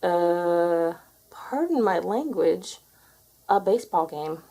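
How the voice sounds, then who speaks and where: A woman's voice: fairly low pitch, lively intonation, slow, mellow, heavily acted. A woman voicing a polite, hesitant female lawyer pleading formally in a children's storybook. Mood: hesitant, proposing. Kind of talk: children's storybook read aloud.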